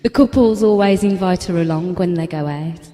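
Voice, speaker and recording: A woman's voice: on the low side, moderate pace, dark tone, lightly acted. A woman playing a prickly, self-deprecating single woman in her forties in an audio drama. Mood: wry, playful. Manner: wry, guarded. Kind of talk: naturalistic audio drama acting. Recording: long reverb on the voice.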